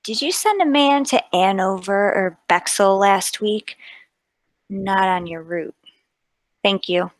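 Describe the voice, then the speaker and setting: A woman plays a police inspector in a mystery radio play. The voice is mid-pitched and recorded close and dry, with clipped, businesslike authority. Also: animated, slow, no echo. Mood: questioning. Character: brisk, authoritative Scotland Yard police inspector.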